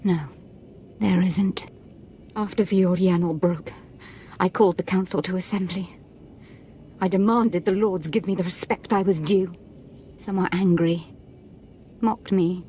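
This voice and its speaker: An actress playing a wounded, imperious young Sith lord in a video game, speaking with haughty, aggrieved drama. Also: female voice, fairly low pitch, fairly even intonation, a little slow, long pauses, warm tone, a little hiss or hum, close to an everyday voice. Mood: bitter, wounded.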